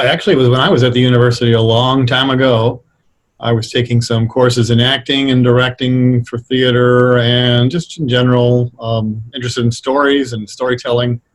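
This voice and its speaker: A middle-aged or older American man explaining things conversationally as an interview guest, thoughtful and halting as he picks his words. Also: mid-range voice. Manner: hesitant, thoughtful, halting delivery. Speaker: interview guest, radio playwright.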